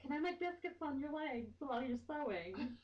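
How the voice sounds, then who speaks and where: A woman's voice: sits high, animated, moderate pace, muffled recording. A woman hosting a casual chat, telling small stories in a wry, playful way. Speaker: podcast host. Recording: slightly distant home microphone.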